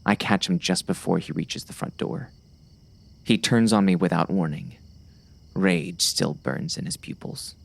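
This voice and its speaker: Male actor as uneasy gunslinger, deep gravelly fry, brooding first-person storytelling, western audiobook drama. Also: unhurried, heavily acted. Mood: tense, wary. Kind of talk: first-person audiobook narration, present tense.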